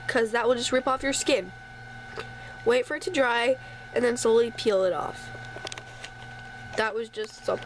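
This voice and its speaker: A woman's voice: on the high side, low-quality recording. A woman with a congested, stuffy nose chatting casually through a home craft tutorial, with apologetic asides as she works. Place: home craft table, indoors. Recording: faint sump pump hum behind.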